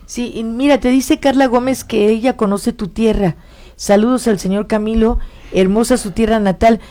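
A woman's voice: a little high, steady tone, moderate pace, dark tone. A woman hosting a casual interview, chatty and affirming, circling her way into questions. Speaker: interviewer, show host.

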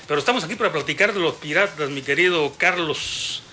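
A man's voice: a little high, brisk, sharp tone, slight background noise. A man hosting a sports radio interview, chatty and rambling with many fillers.

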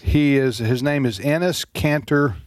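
A man's voice: mid-range voice, slow, no room sound. A male radio host talks through the news in a searching way, full of uhs and false starts. His voice sits mid-range and close, with a dry studio sound. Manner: hesitant, lots of uh and restarts. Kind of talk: talk radio chat.

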